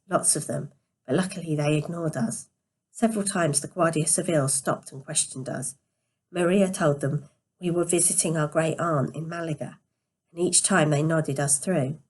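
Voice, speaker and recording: A woman narrates a novel in a slightly low register. Her voice is quiet and tired, as if sleepy. She reads carefully, now and then tripping on a word and picking it up again. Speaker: storyteller reading a novel aloud. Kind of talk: scripted story narration.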